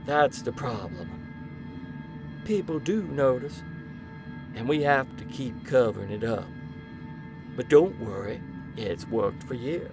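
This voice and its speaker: A man's voice: mid-range voice, expressive, slow pace, creaky, long pauses. A man playing a domineering, foul-mouthed and arrogant villainous doctor in an audio horror drama. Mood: smug, menacing. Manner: domineering, profane. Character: sinister, arrogant male doctor, panicking and ruthless. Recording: loud dramatic music behind. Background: loud music.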